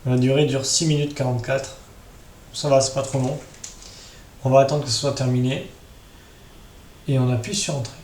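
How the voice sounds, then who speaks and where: A man's voice: medium pitch, fairly even intonation, normal speed, pauses often, a little mellow. A man giving an informal step-by-step screen-share tutorial, talking directly to his viewers. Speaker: tutorial host. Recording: roomy echo with clear background noise.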